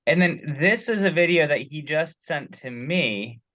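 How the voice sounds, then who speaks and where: A man's voice: fairly high pitch, animated, measured pace, a bit bright, no room sound. A man talking through technical material in a casual discussion on a teleconference call. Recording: muffled, lo-fi conference call audio.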